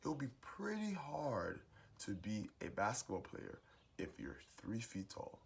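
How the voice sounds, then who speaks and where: A young adult man with a booming voice gives a preachy, emphatic motivational monologue as host of his own series. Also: huge pitch swings, measured pace, vocal fry, slightly rough. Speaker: host giving advice monologue.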